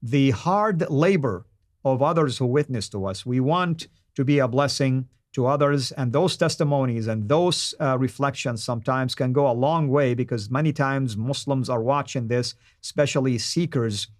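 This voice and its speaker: A man's voice: medium pitch, moderate pace, dry recording. Earnest Arabic-native man, dry studio sound. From Saudi Arabia. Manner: earnest, emphatic, with repeated phrases. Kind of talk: live-stream address to viewers.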